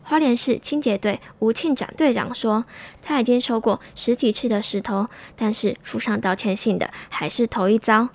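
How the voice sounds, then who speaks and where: A woman's voice: on the high side, moderate pace. A young woman with a Taiwanese Mandarin accent, narrating a scripted news story as a student reporter. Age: young adult.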